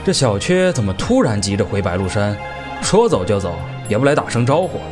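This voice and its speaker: A man plays a courteous, inquisitive member of the martial-arts world in a Chinese wuxia audio drama. His delivery rises and falls in a lively way, with music clearly behind it. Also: lowish voice, brisk. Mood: puzzled.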